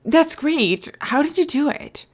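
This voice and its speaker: Woman doing an excitable best-buddy dude for a podfic, enthusiastic n teasing, higher pitched. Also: unhurried. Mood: curious, surprised. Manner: exclaiming, enthusiastic. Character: excitable, teasing young man, supportive best friend. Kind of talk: podfic character dialogue.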